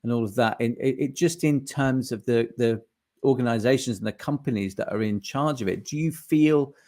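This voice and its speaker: Halting man hosting an interview. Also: medium pitch, darkish tone. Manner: halting, searching for words, with many fillers. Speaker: podcast host. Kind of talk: casual interview.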